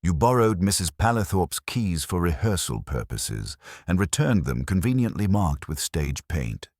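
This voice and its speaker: A man voicing a mild, courteous police inspector with a measured, conversational, quietly ironic manner in a mystery drama. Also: low-pitched, sing-song, dry recording, clean studio sound. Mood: relentless courtesy.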